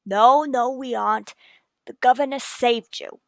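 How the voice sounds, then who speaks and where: A woman's voice: on the high side, unhurried, gravelly, no room sound. A woman playing a grave, steadying prison warden in a radio drama. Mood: reassuring. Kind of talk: old-time radio drama acting.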